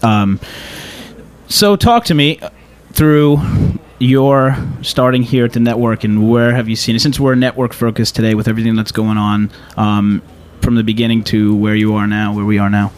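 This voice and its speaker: A man's voice: lowish voice, steady tone, moderate pace, clear, resonant tone. A man hosting a radio talk show in a casual, conversational style.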